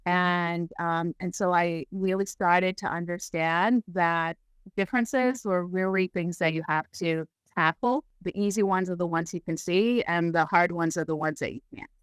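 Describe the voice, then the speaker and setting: A middle-aged woman from Jamaica, raised in Brooklyn, speaking as an interview guest in a reflective, measured way with frequent fillers. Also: lowish voice, fairly even intonation, clean tone, no echo. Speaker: guest, HR executive. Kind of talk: conversational interview.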